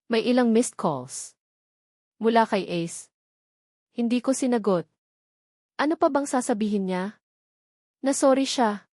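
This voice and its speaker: A woman's voice: fairly high pitch, measured pace, pauses often, darkish tone, no echo. Filipino woman narrating a sentimental story, dry sound. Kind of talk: scripted first-person dramatic narration.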